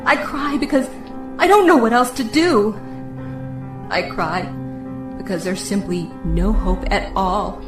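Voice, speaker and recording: A woman's voice: mid-range voice, roomy sound, lightly acted. An actress playing a despairing, mysterious woman long locked away, speaking mournfully and tearfully in an audio drama. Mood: hopeless sorrow. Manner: slow, mournful, tearful delivery. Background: faint music.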